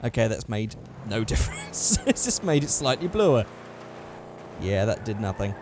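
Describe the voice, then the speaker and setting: A man with a hoarse, worn-out voice giving casual commentary over a driving game, getting excitable at close calls. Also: on the low side, normal speed, noisy background. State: hoarse, losing his voice. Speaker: gaming channel host.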